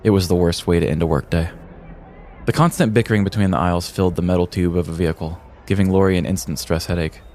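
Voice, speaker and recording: An American man reading a scripted comedic story in a deliberate, theatrical storyteller's manner. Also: deep voice, fairly even intonation, darkish tone, a little hiss or hum. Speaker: podcast host telling a story.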